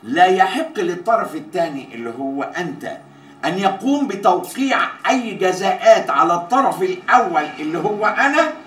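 An actor playing a pompous, demanding Egyptian TV employee in a comedy series, with an officious, declamatory manner. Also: male voice, sits high, big pitch swings, a little fast, a bit bright. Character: pompous, demanding TV employee dictating absurd contract clauses. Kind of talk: Arabic comedy series acting. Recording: echoey room with faint background noise.

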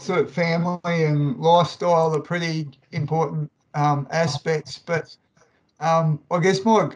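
A man's voice: on the high side, low-quality recording. A man asking a question casually as an audience member on a video call, in a tentative, hedging way.

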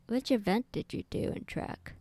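A woman's voice: moderate pace, a little mellow. A woman streaming a game live, chatting casually with her viewers in a rambling, giggly way with many fillers.

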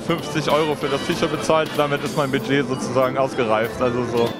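Young man chatting over loud music. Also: medium pitch, flat delivery, fairly quick. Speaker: festival visitor being interviewed. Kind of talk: casual chat. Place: large exhibition hall. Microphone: handheld reporter microphone.

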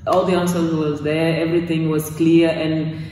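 A woman's voice: sits low, level tone, normal speed, mellow, distant mic, a little hiss or hum. Brazilian-accented woman, echoey gym room. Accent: Brazilian Portuguese. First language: Portuguese. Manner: talks with her hands, searching for English words, many restarts. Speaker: course graduate giving a testimonial. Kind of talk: casual testimonial interview. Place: small gym room, indoors, in front of weight racks. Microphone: far camera.

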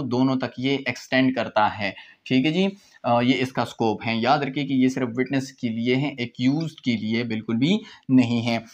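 A man in his twenties with a Pakistani accent, lecturing informally on law and explaining as he goes, mixing in English legal terms. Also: mid-range voice. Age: 20s. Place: small indoor room with whiteboard.

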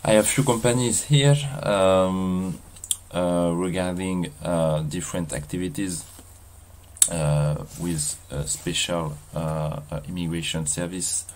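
Low-voiced Frenchman with a heavy accent. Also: steady tone, a little mellow, a little hiss or hum. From France. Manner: halting, many fillers and restarts. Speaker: vlogger. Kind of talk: casual walking vlog. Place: outdoors in a seaside mountain town.

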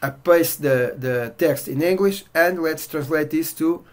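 Portuguese-accented man demoing software. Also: fairly high pitch, a little slow. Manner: relaxed, filler-heavy walkthrough. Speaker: software reviewer. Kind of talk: casual screen-share demo. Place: indoor room at home.

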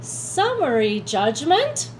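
A woman's voice: sits high, wildly swooping pitch, slow, a little hiss or hum, poor audio. A woman playing a prosecutor in a church radio play, emphatic and objecting.

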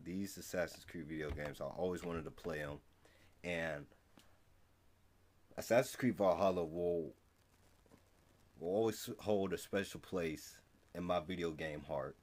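Young adult man, American accent, deep-pitched voice, casual reaction commentary, video host. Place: small room at home, indoors.

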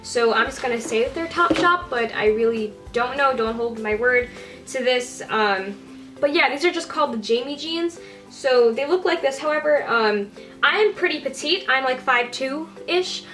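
A young woman chatting to camera in a bright bedroom, showing off clothes in an upbeat, rambling way with lots of fillers. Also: high voice, animated, moderate pace, soft background music. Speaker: fashion vlogger. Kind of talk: casual haul vlog to camera.

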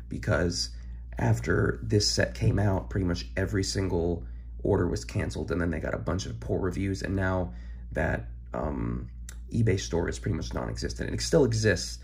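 Hoarse young man with a gravelly voice. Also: deep voice. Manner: relaxed, rambling, with frequent ums and throat clearing. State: hoarse, recovering from illness. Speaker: card-collecting channel host. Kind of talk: casual pack-opening chat. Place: home room, filmed over a desk.

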